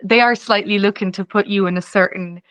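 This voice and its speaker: A woman's voice: medium pitch, fairly even intonation, fairly quick, fairly bright tone, clear, resonant tone, no echo. Chatty young woman, dry close sound. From Czechia. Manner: chatty, rambling, frequent "you know", laughs easily. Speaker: singer-songwriter guest. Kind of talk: casual interview chat.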